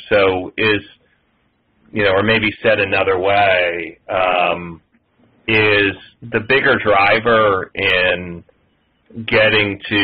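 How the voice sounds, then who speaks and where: Male financial analyst, low-pitched and slightly husky, putting detailed measured questions over a narrow phone connection. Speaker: analyst asking questions on a conference call. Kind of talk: questioning on an earnings call. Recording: muffled, tinny conference phone line.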